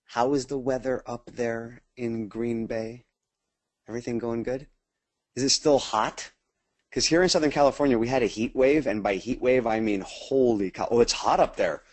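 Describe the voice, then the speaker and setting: An American man hosts an online team training in an informal, chatty way. His medium-pitched voice is recorded close and dry, and he talks directly to the people listening as a coach would. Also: moderate pace, darkish tone, dry recording. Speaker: sales team trainer hosting a webinar. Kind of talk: casual webinar presentation.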